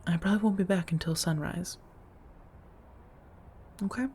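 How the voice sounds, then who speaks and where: A centuries-old female vampire played by a woman, her voice low and soft. She speaks in measured, formal phrases, gentle and affectionate but guarded, as in an intimate ASMR roleplay. There is noticeable noise in the recording. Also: close to an everyday voice. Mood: reassuring. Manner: measured, formal wording with endearments, hesitant confessions.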